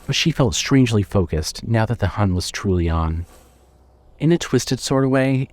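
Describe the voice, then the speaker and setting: A man narrating scripted horror fiction in a measured, dramatic storytelling manner. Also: on the low side, a little mellow.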